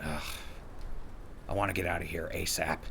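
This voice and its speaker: A man playing a wry, weary, good-hearted and easily exasperated wasteland survivor in an audio drama, with a husky, gravelly voice. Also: low voice, steady tone, normal speed, a bit bright, a little hiss or hum. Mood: disgusted. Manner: sarcastic, colloquial, exasperated. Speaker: protagonist and narrator. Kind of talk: post-apocalyptic audio drama acting.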